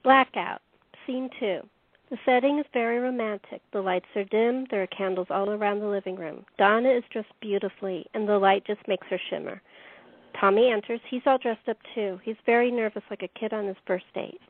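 A woman's voice: medium pitch, steady tone, brisk. A woman narrating a radio play from a script, reading out stage directions. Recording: thin phone-like line.

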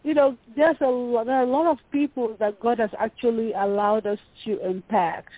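Nigerian woman, ministry guest, husky voice, earnest, repeats herself, phone line. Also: a little high, fairly even intonation, moderate pace. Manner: earnest, repeats words while searching for them. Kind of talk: casual interview talk.

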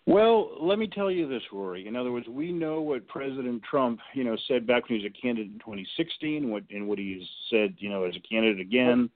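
A man's voice: medium pitch, lively intonation, a little fast, hardly any pauses. A man calling in to a talk show as a guest and making his case emphatically, with sports comparisons. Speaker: guest advocacy director. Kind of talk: phone-in radio interview. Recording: tinny phone line.

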